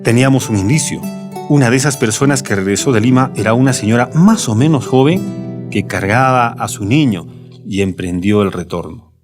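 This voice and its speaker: A man narrating a scripted documentary account in measured, storytelling sentences. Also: mid-range voice, expressive. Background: plucked guitar music.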